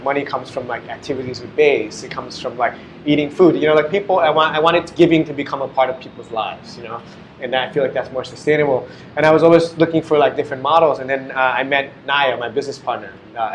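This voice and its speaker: A man's voice: medium pitch, slight background noise, poor audio. A young adult man, a guest talking casually in an interview, rambling and full of fillers. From United States. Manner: rambling, heavy on "like" and "you know". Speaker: guest, business owner.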